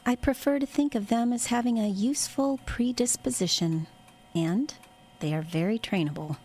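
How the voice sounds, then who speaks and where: An actress playing a cold, calculating superior in a covert military unit, clinical and authoritative, in an audio drama. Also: female voice, fairly high pitch, normal speed, a little mellow. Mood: coolly calculating. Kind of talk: audio horror drama acting.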